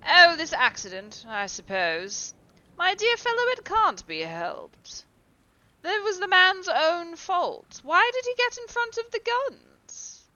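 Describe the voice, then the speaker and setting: A woman voicing a cynical aristocratic gentleman who talks in an airy, drawling, dismissive way. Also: a little high, sing-song, a little slow, no echo, lo-fi sound, voice pushed up. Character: languid, cynical older male aristocrat.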